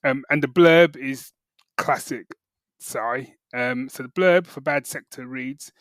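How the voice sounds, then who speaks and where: A man's voice: mid-range voice, takes it slowly, a bit bright, dry recording. A man co-hosting a podcast in casual, bantering conversation. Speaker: podcast co-host.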